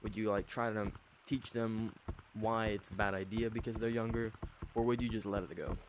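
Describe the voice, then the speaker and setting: A thirteen-year-old boy with an unusually deep voice, hosting a casual interview with fillers and long rambling questions. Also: steady tone, normal speed, background noise. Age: 13, teenage boy. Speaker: host interviewing players.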